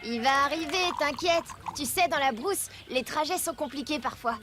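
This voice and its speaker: Woman as very high-voiced, eager cartoon child. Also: normal speed, close to an everyday voice. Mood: reassuring. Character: cheerful, patient, enthusiastic cartoon child. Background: music.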